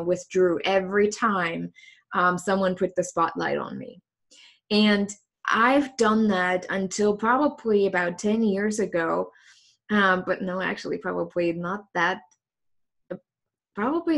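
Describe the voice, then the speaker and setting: A woman talking openly in a casual interview, reflective and thoughtful, with frequent ums and restarts. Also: fairly low pitch. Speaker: host.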